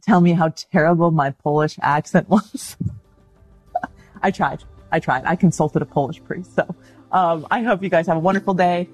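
A woman's voice: lowish voice, steady tone, moderate pace, soft background music, poor audio. A woman presenting an online video about a saint in a chatty, enthusiastic way. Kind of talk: casual talk about a saint.

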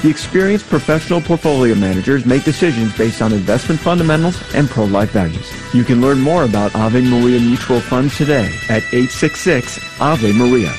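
A man's voice: medium pitch, fairly quick, few pauses. Male ad announcer over music. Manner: steady, persuasive commercial read. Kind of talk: scripted radio ad read.

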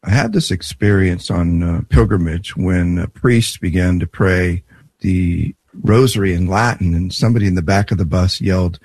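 Low, husky male presenter. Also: normal speed, a little mellow. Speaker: presenter promoting a language course. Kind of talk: conversational promotional spot with personal anecdote.

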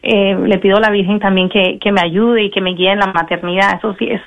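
A young woman from the United States with a slight Antioquia Colombian accent, speaking politely and warmly as a guest on a radio interview. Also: mid-range voice, steady tone, brisk, no room sound, highs cut off. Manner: polite, warm, devout. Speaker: guest singer.

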